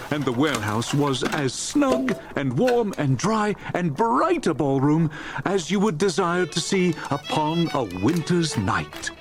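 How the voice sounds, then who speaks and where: A man narrating a dramatized storybook in a theatrical, jovial manner. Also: a little high. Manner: theatrical, jovial, relishing lists. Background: music.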